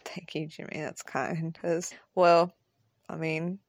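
A woman playing a self-deprecating neighbor woman in an audio drama, soft-spoken, hesitant and gently wry. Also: low voice, little pitch movement, takes it slowly, dry recording, clean studio sound. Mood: quiet, touched. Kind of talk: naturalistic audio drama dialogue.

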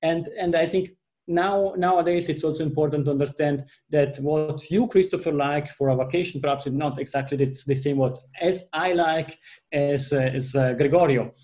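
A man with an Italian accent talks through a business discussion, building his points with long examples and frequent restarts. His voice sits a little high and sounds mellow and relaxed. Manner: halting, restarts often, explains through examples. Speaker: panel guest.

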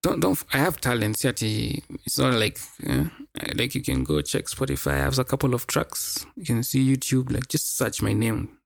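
A young man with a Kenyan accent hosts a casual solo podcast in a gravelly, mid-pitched voice. The recording is dry and close, and he talks in loose slang, doubling up words for emphasis. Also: animated, moderate pace, no room sound. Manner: slangy, repeats words for emphasis, mixes in Swahili phrases. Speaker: podcast host.

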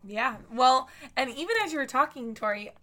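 A young woman in casual chat, telling animated stories and laughing often. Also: high-pitched, normal speed. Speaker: podcast host.

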